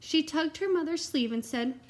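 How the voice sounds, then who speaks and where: A woman reading a picture book aloud to young children in an expressive way, with simple asides to her listeners. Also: sits high, normal speed. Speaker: read-aloud teacher and storyteller.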